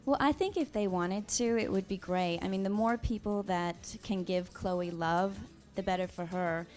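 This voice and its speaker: A soft-spoken woman in her thirties talks through her answers with easy pauses and gentle hesitations. Her voice sits at a middle pitch, and faint music hums underneath. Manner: soft-spoken, thoughtful, with frequent pauses and ums. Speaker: interview guest. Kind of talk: casual interview answers.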